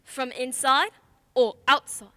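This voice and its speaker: A woman's voice: sits high, slow pace, sharp tone, dry recording, full character voice. A woman acting a confident, precise and assertive female detective in a radio play. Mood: accusing, assured. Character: confident female detective, sharp and methodical, accused of murder.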